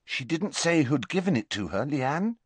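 A sensible teenage girl speaks calmly , voiced by a male narrator. Her voice is only slightly above the narrator's own. The recording is close and dry. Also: fairly high pitch, moderate pace, husky, no room sound, voice pushed up. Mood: gentle.

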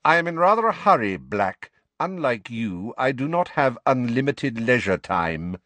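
A man reading an audiobook and voicing a cold, sneering male wizard teacher who speaks silkily and softly. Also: on the low side, sing-song, a little slow, no room sound, full character voice. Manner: silky, sneering, soft-spoken.

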